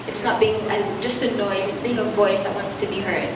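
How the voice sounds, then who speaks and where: A woman in her twenties from France, a pageant candidate giving poised, measured answers at a press meet. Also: medium pitch, fairly even intonation. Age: 20s. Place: hotel function room. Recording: echoey room, distant mic, background hum.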